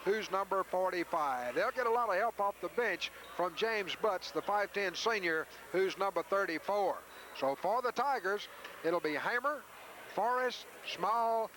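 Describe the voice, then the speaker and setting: High-voiced man calling basketball, noisy old tape. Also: a bit bright. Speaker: radio play-by-play announcer. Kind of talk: live basketball play-by-play commentary. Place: school gymnasium during a game. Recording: noisy 1980s radio audio from VHS.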